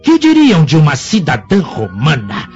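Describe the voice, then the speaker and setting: An actor playing a menacing, shrewd sorcerer of ancient Rome in a theatrical radio drama, solemn and insinuating. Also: male voice, on the high side, huge pitch swings, normal speed, a little mellow, breathy edge, heavily acted. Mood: mocking, defiant. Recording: muffled lo-fi radio drama with music.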